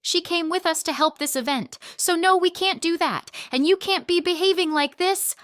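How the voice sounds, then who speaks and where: A woman voices a young wife who yells at her husband and mocks him. Her voice climbs high and loud, and she still sounds clean and smooth. The recording is dry and close. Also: moderate pace, no room sound, lightly acted. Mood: furious. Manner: yelling, mocking, biting. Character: young wife, furious and sarcastic.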